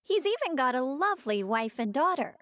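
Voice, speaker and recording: A high-voiced, earnest young fighter girl. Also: big pitch swings, brisk, fairly bright tone, dry recording, studio quality. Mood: wondering. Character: earnest, curious, sweet young woman fighter. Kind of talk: scripted English anime game voice acting.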